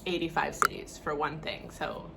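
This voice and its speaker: A woman's voice: low voice, fairly even intonation, normal speed, vocal fry, very noisy. A young woman with a Canadian accent talking casually to camera in a conversational, opinionated way, often saying "like" and "you know". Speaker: vlogger.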